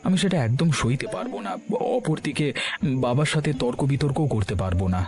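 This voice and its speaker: A man's voice: mid-range voice, very animated, fairly quick, close to an everyday voice. A man voicing an anxious, earnest young man in a cartoon family drama, hesitant and unsure. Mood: worried. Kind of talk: dramatized cartoon story dialogue. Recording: clear music bed behind the voice.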